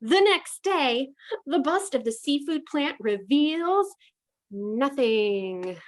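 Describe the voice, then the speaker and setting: A woman's voice: high-pitched, animated, takes it slowly. A woman comedian narrating with wry, mock-reasonable commentary.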